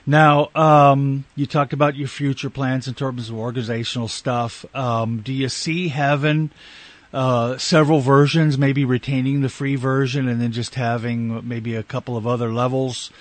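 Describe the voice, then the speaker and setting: A man's voice: mid-range voice, steady tone, measured pace, dry recording. A man with a slight Canadian accent, hosting a radio talk show in a conversational interviewing style.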